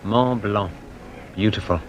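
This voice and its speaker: An actor playing a polite, earnest young lawyer in a radio drama, courteous and reserved in manner. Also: male voice, fairly low pitch, takes it slowly, highs cut off, soft background music. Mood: friendly, reserved.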